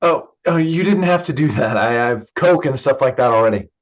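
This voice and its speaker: A man's voice: mid-range voice, animated, moderate pace, lightly acted. An actor playing a polite, modest, guarded young man in a virtual staged reading. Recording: dull, lo-fi online call audio.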